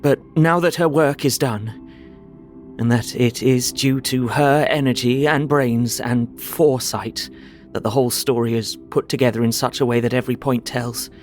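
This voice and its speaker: An actor playing an earnest, devoted husband reading his journal aloud in a measured way for an audio drama. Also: medium pitch, fairly even intonation, faint music behind, natural voice. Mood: earnest, reflective.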